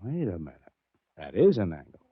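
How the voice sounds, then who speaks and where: A hard-boiled, frustrated American bookmaker type in vintage radio drama. His lowish voice jumps around in pitch and talks plainly. It is recorded dry and slightly dull. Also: wildly swooping pitch, a little fast, noisy background. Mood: frustrated, puzzled. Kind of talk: 1940s radio acting.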